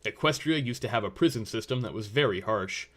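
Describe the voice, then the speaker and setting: A man's voice: lowish voice, animated, a little fast, a bit bright. A man narrating a scripted story as its reader.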